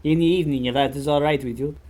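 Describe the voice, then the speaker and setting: A man's voice: fairly high pitch, steady tone, very fast, warm tone, natural voice. A man playing a dim, deferential young village grocer in an audio drama, speaking in a polite and simple way. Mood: obliging. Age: young adult.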